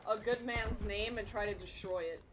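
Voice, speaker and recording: A woman's voice: medium pitch, normal speed, very noisy. A woman streaming a game and chatting informally with viewers, speaking casually with some mild swearing. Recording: loud game gunfire and music behind. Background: video game gunfire and music.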